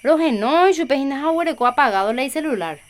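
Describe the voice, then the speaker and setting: A woman's voice: sits high, animated, fast. A woman playing a caring, busy catechist in a Paraguayan radio soap opera, with a Paraguayan accent. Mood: busy, organizing. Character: Juliana, caring, busy catechist woman organizing a village festival.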